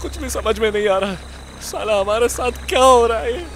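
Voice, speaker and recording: A man's voice: very high-pitched, expressive, fairly quick, a bit bright, slight background noise, heavily acted. A man playing a cowardly, foul-mouthed comic captive in a horror-comedy audio drama, stammering, whining and swearing. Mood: frightened, pained.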